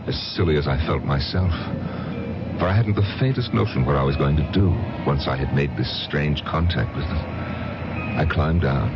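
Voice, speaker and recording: A man's voice: extremely low pitch, normal speed. An actor playing a brooding, weary man who narrates his own ordeal in an old radio drama. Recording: dull radio recording, loud music behind.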